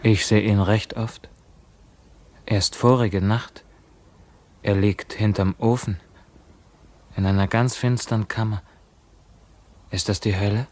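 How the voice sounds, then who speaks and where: An actor playing a tormented, bewildered young prisoner in a radio play, speaking in a halting, declamatory way. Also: male voice, lowish voice, steady tone, a little mellow. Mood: brooding, bewildered. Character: tormented imprisoned young man, feverish, bewildered, brooding. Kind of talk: classic German radio play acting.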